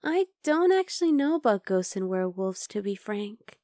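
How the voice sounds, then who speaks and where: A woman's voice: mid-range voice, very animated, normal speed, no room sound, clean studio sound, lightly acted. A woman playing an obsessive, chatty vampire lab worker in an ASMR roleplay, coaxing and teasing the listener. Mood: teasing, curious. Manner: conversational, teasing, coaxing, with soft asides.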